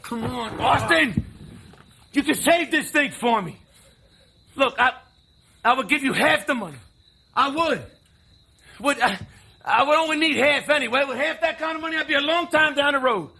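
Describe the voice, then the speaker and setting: A very high, gravelly, desperate drifter. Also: male voice, expressive, moderate pace, long pauses, bright, forceful tone, heavily acted. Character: pleading, hot-tempered older brother, rough drifter. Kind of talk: live stage play acting.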